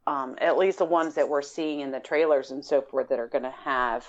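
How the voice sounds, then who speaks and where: A woman's voice: extremely low pitch, fairly even intonation, fairly quick, lo-fi sound. A woman co-hosting a podcast, chatting casually about games and rambling as she searches for words. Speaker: podcast co-host. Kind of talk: casual gaming discussion.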